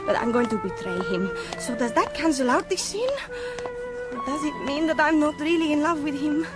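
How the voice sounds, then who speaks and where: A woman's voice: sits high, lively intonation, moderate pace, over loud music. An actress playing an earnest, naive young Spanish woman in a radio drama, devout and a little breathless. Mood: anxious, flustered. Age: young adult.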